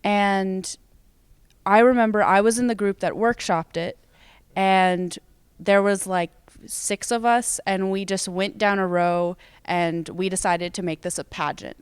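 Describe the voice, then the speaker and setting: A woman's voice: medium pitch, steady tone, measured pace, smooth, no room sound. A young American woman giving casual interview answers as a student theater performer. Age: young adult.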